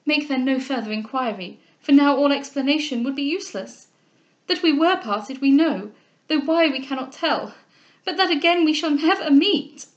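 Anguished young heroine of a period novel, read by a woman: high-pitched, resolute, formal and urgent. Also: normal speed, lo-fi sound, lightly acted. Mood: grave resolve. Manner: urgent, formal, emphatic exclamations. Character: anguished young gentlewoman, tearful, proud and resolute. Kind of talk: dramatic reading of a classic novel.

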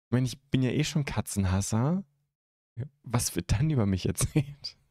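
A young man from Germany hosting a live stream, chatting casually and haltingly as he answers viewer comments into a close microphone. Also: mid-range voice, animated, mellow, no echo. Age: young adult. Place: indoor streaming room at home. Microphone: close microphone on a stand.

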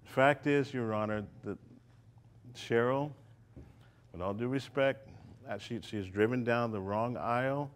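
A man defending himself in court, calm, measured and somewhat formal. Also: medium pitch. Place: TV courtroom set.

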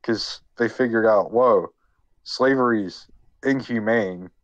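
A man podcast co-host who is sick, explaining history informally in a halting, jokey way. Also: fairly low pitch, dry recording, highs cut off. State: sick with a cold.

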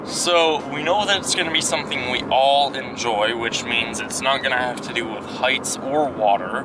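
A man's voice: mid-range voice, moderate pace. A man reasoning out guesses with dry wit during a family car ride. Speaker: family member on a day trip. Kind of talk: casual family chat. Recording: loud noise, voice far from the mic.

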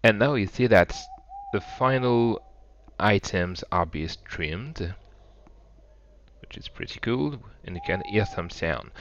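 A man's voice: low voice, fairly bright tone, dull audio. A middle-aged man with a French accent, a native French speaker, narrating a screen-recorded tech demo with eager, rambling enthusiasm. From France. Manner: enthusiastic, loose and rambling with restarts. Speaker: web developer demonstrating software.

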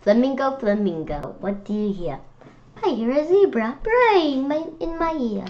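A woman reading a picture book aloud for children in an expressive, playful way, sometimes halting on words. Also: sits high. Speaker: children's read-aloud host. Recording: dull, lo-fi sound.

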